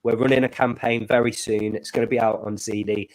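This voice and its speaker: A man co-hosting a live stream in casual chat, his voice oddly high and squeaky from a glitch, with a blocked nose, making cheerful, rambling announcements. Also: little pitch movement, brisk, a little mellow, low-quality recording. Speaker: stream co-host. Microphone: desk microphone.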